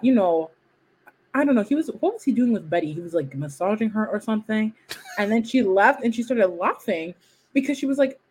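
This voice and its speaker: A woman whose first language is French, co-hosting a casual podcast chat in a playful, animated way, acting out quotes. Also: on the high side, breathy edge, poor audio. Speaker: podcast co-host.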